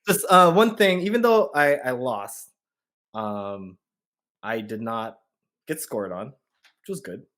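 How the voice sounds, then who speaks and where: A man's voice: mid-range voice, slow, pauses often. A man from Hawaii chatting casually as a podcast host, telling a story in slangy speech and acting out what he was thinking. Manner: slangy, animated storyteller who acts out his thoughts.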